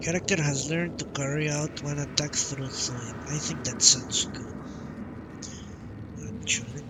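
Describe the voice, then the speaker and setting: A man's voice: fairly high pitch, measured pace, very noisy. A man doing casual live gameplay commentary, thinking aloud and muttering to himself, with some swearing. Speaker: live streamer playing a video game. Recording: game music behind the voice. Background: video game music.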